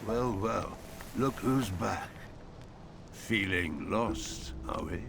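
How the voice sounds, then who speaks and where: Sly tempter man, video game cutscene, insinuating and mocking, unhurried delivery, music behind. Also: on the low side, expressive, vocal fry, exaggerated voice. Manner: mocking, insinuating, drawn-out phrasing. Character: sly, taunting man tempting a wanderer with power. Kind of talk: scripted game cutscene dialogue.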